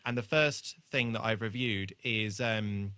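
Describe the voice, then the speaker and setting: Bright, low-pitched man chatting on a podcast. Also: a little slow, smooth, no echo. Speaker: podcast co-host. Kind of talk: casual chat about a TV show.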